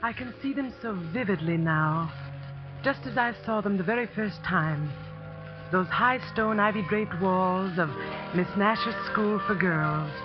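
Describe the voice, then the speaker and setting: A woman's voice: mid-range voice, natural voice. A woman acting a young schoolteacher in a 1940s radio drama, speaking in a vivid, earnest way. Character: young woman teacher recalling her first school post. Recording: dull vintage radio recording with music.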